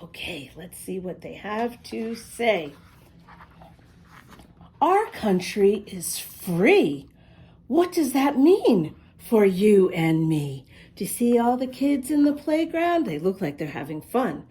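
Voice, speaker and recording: A woman reading a picture book aloud to young children, expressively, pausing to ask them questions. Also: mid-range voice, big pitch swings, dark tone, breathy edge. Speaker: children's storybook reader and host.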